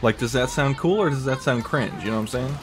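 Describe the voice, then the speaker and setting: A young man with a scratchy throat rambling casually while he plays a video game. He talks with lots of fillers and casual swearing, in a deep, fairly monotone voice. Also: fast talker, crowd noise. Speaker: gamer commenting while playing. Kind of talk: casual gameplay commentary.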